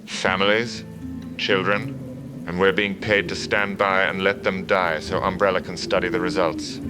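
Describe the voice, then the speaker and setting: A man playing a disillusioned middle-aged mercenary soldier giving grim, measured testimony in an audio drama. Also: sits low, little pitch movement, natural voice. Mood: outraged. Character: weary disillusioned male mercenary soldier, bitter and defiant. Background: background music.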